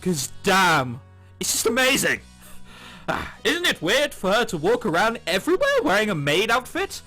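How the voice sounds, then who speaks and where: Animated, high-pitched man doing a jokey let's play. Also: normal speed. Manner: sweary, animated, sarcastic. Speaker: gaming YouTuber. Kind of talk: jokey visual novel let's play, reading dialogue aloud with commentary. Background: game background music.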